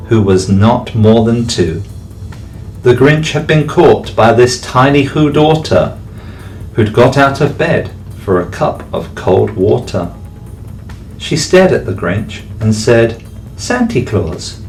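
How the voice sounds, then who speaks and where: A man, a teacher, reading a rhyming bedtime story aloud with expressive delivery. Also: mid-range voice. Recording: distant mic, faint background music.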